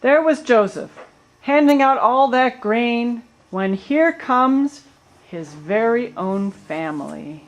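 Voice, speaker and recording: A woman's voice: fairly high pitch, animated, takes it slowly. A woman serves as narrator for a puppet show. She tells the story in a colloquial storyteller's way, dropping in little asides as she goes.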